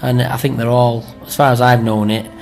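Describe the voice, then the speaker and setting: A man's voice: medium pitch, little pitch movement, brisk, gravelly, darkish tone, faint music behind. A middle-aged man with a Greater Manchester accent, chatting in an interview in a rambling way and often checking with tag questions. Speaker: amateur pantomime performer being interviewed.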